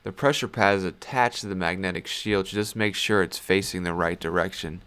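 A man giving a casual, low-key how-to voice-over as the host, speaking in an unscripted, slightly hesitant way. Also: brisk, a bit bright, clean tone.

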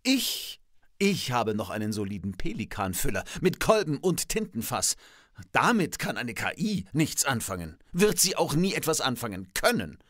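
A man's voice: mid-range voice, very animated, moderate pace, fairly bright tone. A man plays a skeptical, traditionalist professor in a satirical dialogue. He is pedantic and articulate, with theatrical, varied intonation. The studio sound is dry and free of echo. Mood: skeptical, nostalgic. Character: indignant, old-fashioned male professor, skeptical of technology.

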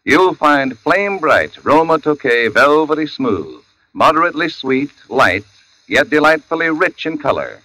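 A man delivering a polished, emphatic commercial pitch in 1940s radio announcer style. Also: sing-song, measured pace, bright, forceful tone, dry recording, rough recording.

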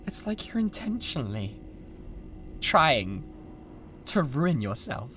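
A pompous British mad scientist with a god complex in an audio roleplay. The voice is sharp and swings widely in pitch, theatrical and full of contempt, with faint noise behind it. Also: male voice, very animated, slow pace, exaggerated voice. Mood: disappointed, menacing. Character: grandiose, volatile mad scientist playing god. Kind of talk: scripted ASMR audio roleplay.

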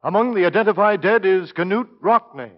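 A grave 1940s newsman reading a bulletin. His voice is high, urgent and clipped, on a muffled radio recording with faint music. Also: animated, moderate pace. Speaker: news announcer.

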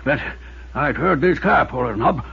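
An actor playing a desperate, suspicious old desert prospector in a 1950s radio drama, with a raspy, folksy, agitated delivery. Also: male voice, medium pitch, animated, moderate pace, a bit bright, exaggerated voice. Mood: agitated recounting. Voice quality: raspy, creaky. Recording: muffled lo-fi 1950s radio recording.

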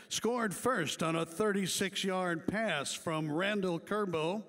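Slow, high-voiced man announcing inductees. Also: fairly bright tone. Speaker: awards ceremony announcer. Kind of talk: reading prepared inductee tributes.

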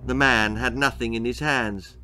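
A man's voice: mid-range voice, steady tone, moderate pace, sharp tone, soft background music. A man in his sixties reading a children's story aloud as a dramatic narrator, in a room indoors. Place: small book-lined room indoors.

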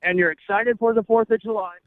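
High-voiced man, muffled radio feed. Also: steady tone, fast, no room sound. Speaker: street quiz host on a radio show. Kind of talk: comic street interview.

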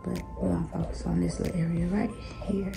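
A woman in her thirties with a raspy voice, chatting casually and laid-back through a home vlog, sometimes dropping to a soft aside. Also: on the low side, level tone, fast talker, reverberant. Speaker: home vlogger. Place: living room in a house. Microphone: phone camera held or set on a table. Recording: background music under the voice.

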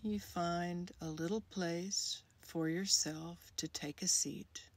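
A woman leading a guided meditation in a soft, breathy, soothing voice, speaking in short phrases with long, unhurried pauses. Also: lowish voice, fairly even intonation. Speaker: guided meditation leader, creativity coach. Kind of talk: guided meditation, gentle scripted narration.

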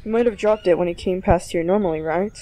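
A woman playing a level-headed, thoughtful child adventurer in an audio drama, with a calm, measured delivery. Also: on the low side, a little hiss or hum, lightly acted, rough recording. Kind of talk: fan audio drama acting.

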